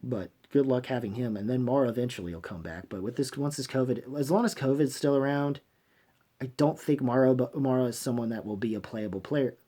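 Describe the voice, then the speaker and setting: A man hosting a fan review channel, giving unscripted, opinionated commentary in a halting, emphatic, blunt way, often rewording his phrases. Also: medium pitch, dark tone. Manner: blunt, swears freely.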